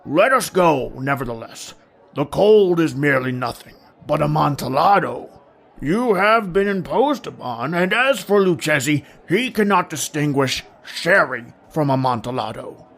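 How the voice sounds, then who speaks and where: A man's voice: a little high, lively intonation, measured pace, vocal fry, fairly bright tone, full character voice. Man acting a tipsy, boastful wine snob. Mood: impatient, boastful. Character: tipsy, vain male Italian wine connoisseur at carnival. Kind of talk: old-time radio horror drama acting.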